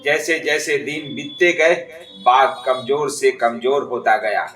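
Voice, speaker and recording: A man's voice: medium pitch, a little slow, a bit bright. Echoey male children's storyteller. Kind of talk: scripted children's story narration. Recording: distant, echoey, music behind.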